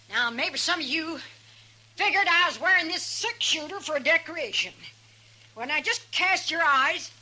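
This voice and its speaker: Bossy saloon owner, middle-aged, Western drawl, very sharp high brassy voice, blunt, folksy, old radio western. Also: female voice, heavily acted, low-quality recording. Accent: American Western drawl. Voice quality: big, brassy, harsh voice. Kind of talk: 1950s radio western acting. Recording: old radio recording with music.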